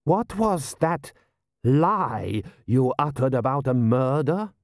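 Man playing proud prince, haughty, contemptuous, measured slow delivery, dry close sound, storybook character. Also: mid-range voice, expressive, creaky, no room sound, pitched above the performer's own voice. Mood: affronted. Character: proud, imperious, contemptuous foreign male prince.

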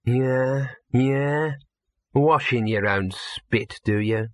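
A man's voice: mid-range voice, very slow, dry recording, clean studio sound. A man voicing a small scruffy talking dog in an audiobook, a streetwise know-all with a level, sardonic mutter. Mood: deadpan sarcasm. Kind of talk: audiobook character voice.

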